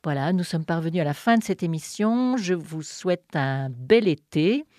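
A woman hosting a radio show, reading a poem aloud in a measured, deliberate way with pauses. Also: on the low side, lively intonation, dark tone, no room sound, clean studio sound. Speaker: radio host. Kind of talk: scripted narration and poetry reading.

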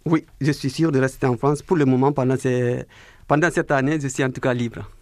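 A man in his twenties from Guinea, interviewed on the radio, answering in slow, careful sentences with some hesitations. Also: medium pitch, steady tone, no room sound, clean studio sound. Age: 20s. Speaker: interview guest, apprentice baker.

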